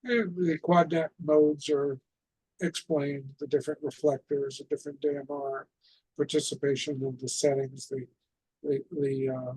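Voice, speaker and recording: A man with a somewhat high, husky voice gives an informal talk as the host of an amateur radio net. His intonation stays quite flat, and he explains haltingly, often repeating himself as he goes. The sound is close and dry. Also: dry recording, poor audio. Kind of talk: informal instructional presentation over a radio net.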